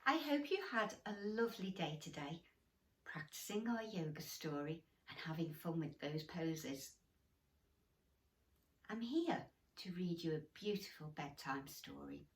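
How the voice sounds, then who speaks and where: Animated woman reading bedtime stories, roomy sound. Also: low-pitched, unhurried. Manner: animated, gently encouraging, addressing children directly. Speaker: storybook reader. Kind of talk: expressive bedtime story reading for young children. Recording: roomy echo, distant microphone.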